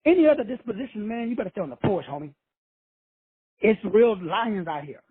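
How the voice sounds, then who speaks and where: A man's voice: sits high, big pitch swings, fairly quick, breathy edge, no echo. A man from Texas hosting a call-in show, preaching profane motivation with swagger and slang. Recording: tinny phone line, dry.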